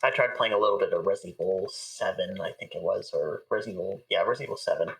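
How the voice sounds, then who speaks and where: A man's voice: deep voice, rapid-fire, distant mic, poor audio. A man chatting casually as an interview guest, with hesitant, thoughtful phrasing. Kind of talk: casual chat.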